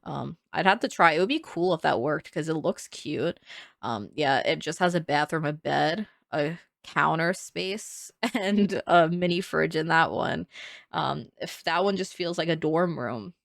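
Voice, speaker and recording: A young woman talks in a low, gravelly voice with a nasal, congested edge. She rambles in a chatty way and laughs often, recorded dry and close with no room sound. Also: animated, a little slow, no echo. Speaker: Sims builder narrating a speed build. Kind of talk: casual voice-over commentary.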